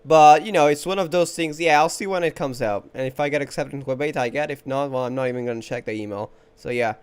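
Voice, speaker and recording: Crisp-voiced man streaming a game. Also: mid-range voice, fast talker. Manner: off-the-cuff, chatty, thinking aloud. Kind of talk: casual live playthrough commentary. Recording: game music behind the voice.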